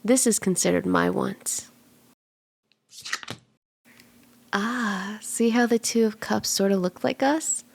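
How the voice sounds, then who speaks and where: A woman voicing a flirty, playful kitsune fortune teller in a soft, teasing, reassuring ASMR roleplay, slipping into breathy whispers in places. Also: medium pitch, measured pace, slight background noise. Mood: teasing, intimate. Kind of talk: ASMR roleplay, soft intimate tarot reading.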